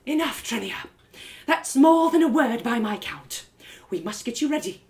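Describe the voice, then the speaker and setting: A woman's voice: fairly high pitch, expressive, normal speed, darkish tone, pitched above the performer's own voice. A woman voicing a stern, scornful elven mother in a story, with imperious, formal commands. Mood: impatient, commanding.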